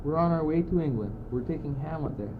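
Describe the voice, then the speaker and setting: A man's voice: a little high, animated, quick pace, close to an everyday voice. An impatient, philosophizing courtier, acted by a teenage boy in a student radio play. The delivery is declamatory and exasperated. The recording is very tinny and plainly noisy. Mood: reassuring, insistent. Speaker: student actor. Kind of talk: amateur radio play acting. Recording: noisy phone-like old school recording.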